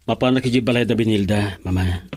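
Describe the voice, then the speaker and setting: A man's voice: lowish voice, fast talker, a little mellow, a little hiss or hum, natural voice. An actor with a Filipino accent playing an earnest, lovelorn young man who speaks respectfully in a radio drama. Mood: earnest, pleading. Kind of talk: Ilocano radio drama acting.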